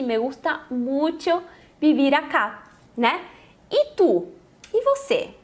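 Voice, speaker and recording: A Brazilian woman, a native Portuguese speaker, teaching Spanish in an informal, conversational lesson style with frequent check-in tags. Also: high voice. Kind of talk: informal language lesson, explaining and translating example phrases.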